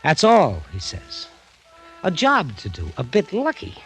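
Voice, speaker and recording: An actor playing an excitable young ship's mate with an incredulous, emphatic delivery in an old radio drama. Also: male voice, fairly high pitch, unhurried, slightly rough, soft background music, full character voice, poor audio. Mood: exasperated, wondering. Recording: 1940s radio recording.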